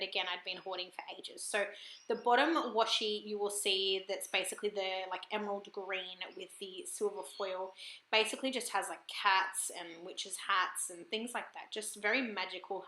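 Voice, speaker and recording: An Australian woman with a croaky, husky throat, chatting casually and apologetically in a voiceover for her planner vlog at home. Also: mid-range voice, sharp tone, echoey room, poor audio. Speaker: planner vlogger.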